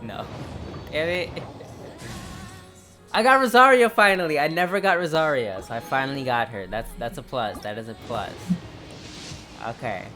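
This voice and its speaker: A gamer talks casually to themself while playing, in a mid-range speaking voice. The delivery is halting and muttered, close to the microphone, with game music clearly audible behind. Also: medium pitch. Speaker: gaming video creator. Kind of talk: casual gameplay commentary.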